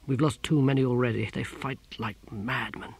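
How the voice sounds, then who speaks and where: A man's voice: mid-range voice, fairly even intonation, normal speed, husky. A man voicing a weary, blunt middle-aged army general.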